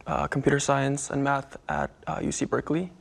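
Gravelly, hesitant young man. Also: medium pitch, little pitch movement, fairly quick. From United States. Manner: hesitant, modest, many fillers. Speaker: guest. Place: studio.

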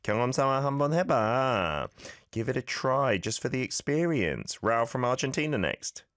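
A man hosting a radio show, coaching listeners through a phrase in a language he did not grow up speaking, relaxed and self-deprecating. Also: medium pitch, quick pace, no echo. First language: not Korean. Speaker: radio show host teaching Korean. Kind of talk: casual radio lesson chat.

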